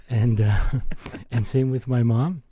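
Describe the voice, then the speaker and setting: A man's voice: medium pitch, fairly even intonation, slow pace, dark tone, husky, no echo. A middle-aged or older man with a Canadian accent, telling personal stories as an interview guest in a modest, reflective way. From born in Italy, raised in Toronto. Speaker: interview guest, finance executive.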